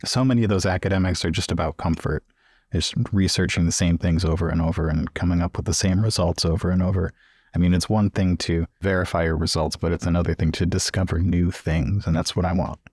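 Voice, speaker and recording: A man playing a restless, reticent scholar with an adventurous streak, speaking haltingly in improvised roleplay over background music. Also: sits low, steady tone, a little mellow, husky, no room sound, studio quality. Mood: earnest. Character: James, restless adventurous young scholar, reticent about leaving. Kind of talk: improvised in-character roleplay dialogue.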